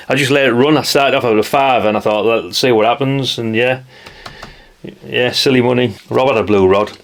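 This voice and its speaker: A middle-aged man with a North East English accent, giving casual, rambling commentary in a chatty, matter-of-fact way, often ending remarks with tag questions. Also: medium pitch. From North East England. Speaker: vlogger.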